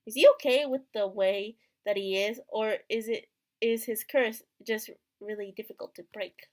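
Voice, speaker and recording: A woman's voice: mid-range voice, steady tone, moderate pace. A woman hosting a gaming video, reading a visual novel's narration aloud expressively.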